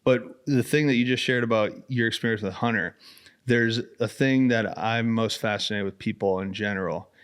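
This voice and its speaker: A man's voice: on the low side, normal speed, fairly bright tone, clean tone. Male American interviewer, clean resonant voice, casual and curious podcast host delivery.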